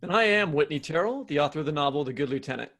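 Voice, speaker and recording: A man's voice: fairly high pitch, animated, racing, fairly bright tone. A man co-hosting a podcast in casual, informal, rambling conversation. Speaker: podcast co-host, novelist.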